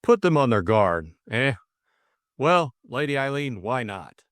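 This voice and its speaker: Stolid, understated police superintendent for an audiobook, acted by a man; deliberate, colloquial, close dry sound. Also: medium pitch, big pitch swings, no room sound, pitched above the performer's own voice. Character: stolid, wooden, unhurried male police superintendent. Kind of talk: audiobook character dialogue.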